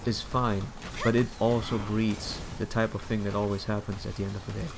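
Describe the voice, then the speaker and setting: A man's voice: on the low side, flat delivery, brisk. A man casually rambling as he streams a game, musing and opinionated, often repeating words as he searches for phrasing. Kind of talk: casual rambling commentary while gaming. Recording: clear background noise, game ambience.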